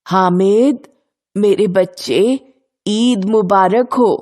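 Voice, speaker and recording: A woman's voice: mid-range voice, drawn-out words, a little mellow. A woman playing a poor, anxious old grandmother in a dramatised children's story, in a plaintive, lamenting manner. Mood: tender.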